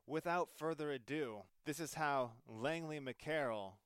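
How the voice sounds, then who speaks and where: Casual podcast host, young man, smooth voice, relaxed chatty delivery. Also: fairly high pitch, unhurried, dry recording, studio quality. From Iowa, US Midwest. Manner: easygoing, laid-back banter with filler words. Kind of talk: casual podcast interview chat.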